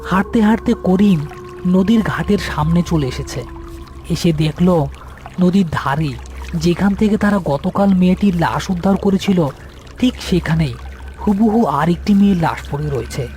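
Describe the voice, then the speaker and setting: A man's voice: high-pitched, expressive, normal speed, darkish tone, faint music behind. A man reading a scripted horror story as its narrator.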